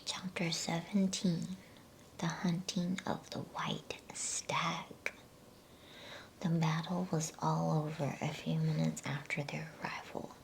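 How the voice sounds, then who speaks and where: A woman reading a bedtime story in a breathy, soothing ASMR whisper. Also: low-pitched, level tone, rough recording. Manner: hushed, unhurried, soothing delivery. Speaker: storybook reader.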